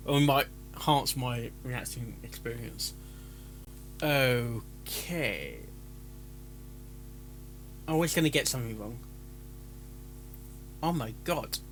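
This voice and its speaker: A man's voice: mid-range voice, lively intonation, slow pace, long pauses, a bit bright, faint music behind, low-quality recording. A man chatting casually as a live-stream reactor, stumbling over his words and interrupting himself. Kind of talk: casual live-stream chat with rehearsed intros.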